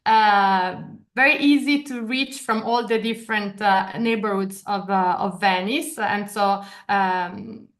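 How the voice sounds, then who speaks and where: A woman's voice: mid-range voice, slow pace. A woman in her thirties with an Italian accent, presenting a study program over a video call, with many hesitations and restarts. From Italy. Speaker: program director. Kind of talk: online information session presentation. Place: office in Venice, video call.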